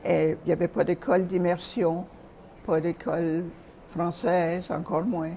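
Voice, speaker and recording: An older woman from Calgary, Canada, recalling her childhood and early teaching years as an interview guest, speaking softly with frequent hesitations and pauses. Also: on the low side, little pitch movement, vocal fry. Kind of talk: reminiscing in an interview.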